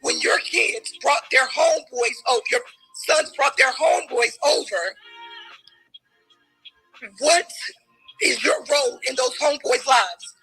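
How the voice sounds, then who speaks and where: Forceful high-voiced woman arguing hard. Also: a little slow, pauses often, faint music behind, low-quality recording. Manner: forceful, emphatic, challenging questions. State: losing her voice. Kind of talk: heated debate.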